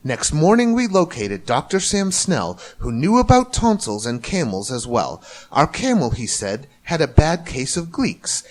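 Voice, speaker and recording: A man narrating a rhyming children's story in an expressive, theatrical way. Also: medium pitch. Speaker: storybook reader.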